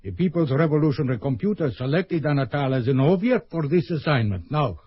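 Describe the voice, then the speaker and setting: A cold scheming KGB colonel. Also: male voice, on the high side, expressive, brisk, no room sound. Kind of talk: 1970s radio drama acting. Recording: dull old radio recording.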